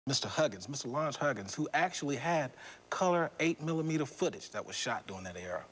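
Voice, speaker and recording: A man speaking as an interview guest in an unscripted, halting way, often repeating words. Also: mid-range voice, expressive, background noise. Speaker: news photojournalist interviewed as guest.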